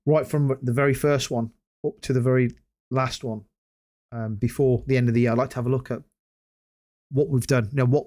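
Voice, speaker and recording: A man hosting a casual solo gaming podcast, hoarse after hours of talking and rambling with many hesitant restarts. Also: medium pitch, darkish tone. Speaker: podcast host.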